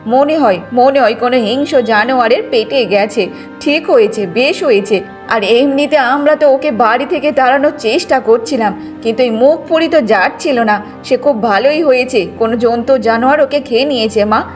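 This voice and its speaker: A spiteful old mother-in-law from a cartoon fable, put on by a woman, bossy and wheedling. Her voice is high, with faint music underneath. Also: a little fast, close to an everyday voice. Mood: spiteful, gloating. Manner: wheedling, nagging. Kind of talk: cartoon moral tale acting.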